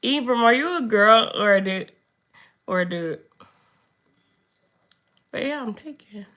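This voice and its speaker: A young woman with a hoarse voice chatting casually with her viewers while reading their comments. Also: expressive, unhurried, sharp tone. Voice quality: low, slightly deep voice. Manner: chatty, talks to viewers, drifts off topic. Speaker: makeup streamer.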